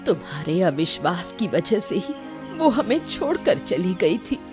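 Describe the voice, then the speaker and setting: A woman's voice: fairly low pitch, sing-song, measured pace, over music. A woman playing a proud, protective village mother in a cartoon, speaking with firm emotion. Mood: proud, reproachful.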